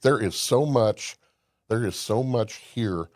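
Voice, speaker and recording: A man's voice: on the low side, slow pace, a little mellow, dry recording, studio quality. An older man from Indiana, a podcast guest telling folksy stories with emphasis and frequent restarts. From Indiana, USA. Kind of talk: casual conversation.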